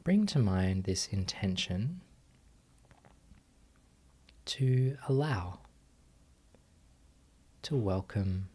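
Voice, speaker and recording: Deep voiced guy leading a guided meditation, really slow, gentle instructions w long pauses. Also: animated. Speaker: meditation teacher.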